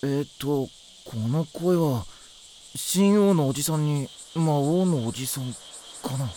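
A woman's voice: low-pitched, slow, mellow, slight background noise. A woman voicing an easygoing, casual teenage boy in an anime audio drama, laid-back and mildly exasperated. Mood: wary. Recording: faint music behind the voice.

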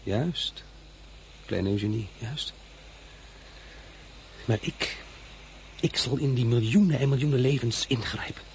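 An actor playing a confident, ambitious young officer in a radio drama. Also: male voice, mid-range voice, animated, slow, pauses often, a little mellow, a little hiss or hum. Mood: intimate. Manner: confident, grandiose. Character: young ambitious officer, grand and self-assured.

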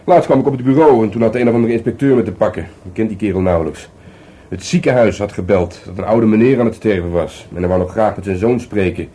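Low, mellow, grumbling Dutch detective. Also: male voice, fast, husky. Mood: grumbling, sulky. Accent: Netherlands Dutch. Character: disgruntled male police detective, grumbling but playful at home. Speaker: actor in a radio play. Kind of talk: naturalistic radio play dialogue. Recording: faintly noisy radio play recording.

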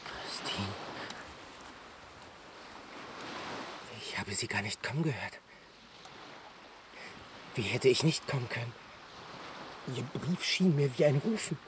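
An actor playing an earnest, jealous young suitor who speaks formally and urgently in a radio play. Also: male voice, mid-range voice, lively intonation, moderate pace, pauses often, a little hiss or hum, close to an everyday voice.

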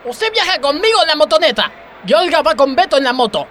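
Man playing Argentine butcher, high-pitched, swooping intonation, fussy, chatty, radio comedy, noisy background. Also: sing-song, quick pace, a bit bright, exaggerated voice.

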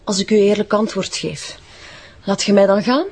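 A woman's voice: mid-range voice, moderate pace, darkish tone, slightly rough. A woman playing a defiant, surly young drug addict with a Flemish accent in a crime drama. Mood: evasive, grudging. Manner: defiant, evasive. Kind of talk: crime audio drama acting.

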